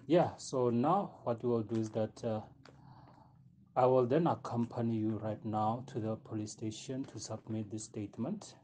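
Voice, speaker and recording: A man's voice: mid-range voice, dark tone, slight background noise, natural voice. A man playing a sympathetic, polite investigator in an amateur audio drama, speaking with hesitant care. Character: sympathetic male investigator taking a witness statement.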